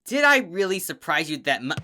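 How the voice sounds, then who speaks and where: A performer voicing a brash young blue pegasus mare from a cartoon, loud and blunt in a casual way. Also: mid-range voice, big pitch swings, brisk, no echo, studio quality, voice pushed up. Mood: brash, amused.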